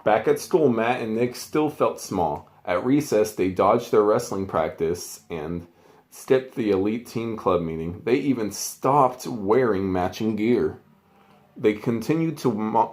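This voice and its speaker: A man's voice: lowish voice, a little mellow. A man reading a children's storybook aloud in an informal, chatty way, with casual asides. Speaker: amateur storybook reader.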